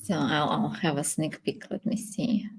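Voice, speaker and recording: A woman with a Ukrainian accent chatting casually as she hosts a live technical workshop, feeling her way through sentences in halting phrases. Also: sits low, steady tone, vocal fry, slight background noise. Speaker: workshop host.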